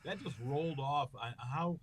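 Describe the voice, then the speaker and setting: A man's voice: fairly high pitch, moderate pace. A middle-aged American man, a comedian guest, telling rambling, joking anecdotes full of fillers in a casual interview. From United States.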